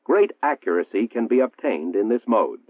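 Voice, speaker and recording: An American man reading a 1960s military training film narration in a formal, measured, precisely enunciated manner. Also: on the low side, sing-song. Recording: muffled, roomy 1960s film soundtrack, phone-like.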